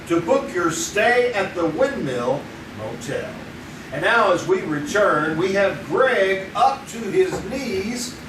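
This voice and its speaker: Man announcing an old-time radio show. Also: high voice. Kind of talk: scripted period radio announcing. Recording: roomy live stage, distant mic, noisy.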